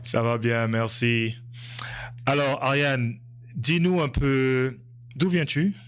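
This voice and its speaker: Relaxed male podcast host. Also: medium pitch, slow pace, smooth. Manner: relaxed, hesitant questions with frequent restarts. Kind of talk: casual interview.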